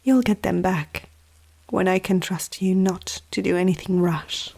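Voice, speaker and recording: A woman voicing a powerful, teasing female vampire noble in an ASMR roleplay, speaking softly and often close to a whisper, with an aristocratic, self-assured manner. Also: lowish voice, measured pace. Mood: calm, assured. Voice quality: soft, breathy, often near whisper. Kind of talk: ASMR roleplay addressed to the listener.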